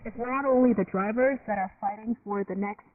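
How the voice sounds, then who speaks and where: A woman's voice: mid-range voice, brisk. A woman giving her opinion as a panelist in a casual radio discussion. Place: radio studio. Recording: distant, noisy, tinny shortwave radio reception.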